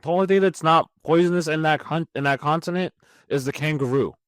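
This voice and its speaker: A man's voice: a little high, fairly quick, clean tone, dry recording. Blunt man bantering, dry close sound. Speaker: podcast co-host. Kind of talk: casual banter.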